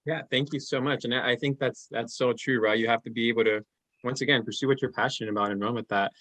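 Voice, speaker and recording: A man moderating a panel, thanking the speakers warmly and asking them questions. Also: medium pitch, steady tone, fast talker. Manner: friendly, encouraging, reads out quotes.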